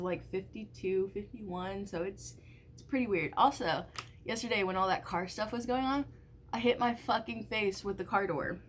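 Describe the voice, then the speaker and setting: A woman's voice: medium pitch, a little hiss or hum. Congested young woman chatting casually. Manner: rambling, filler-heavy, swears casually. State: congested, stuffy nose. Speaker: vlogger. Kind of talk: casual get-ready-with-me chat. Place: at home doing makeup.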